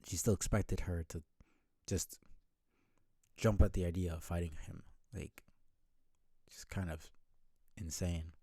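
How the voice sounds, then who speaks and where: A man's voice: low-pitched, steady tone, slow, pauses often, warm tone, slightly rough, no room sound, clean studio sound. A man giving casual, rambling live-stream commentary in a blunt, sweary way. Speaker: streamer reading a webcomic aloud.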